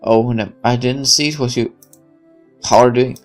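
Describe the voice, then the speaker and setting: A man's voice: mid-range voice, steady tone, moderate pace, soft background music, natural voice. A man voicing an awkward, naive young soldier in a visual novel, hesitant and eager to impress. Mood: nervous, eager.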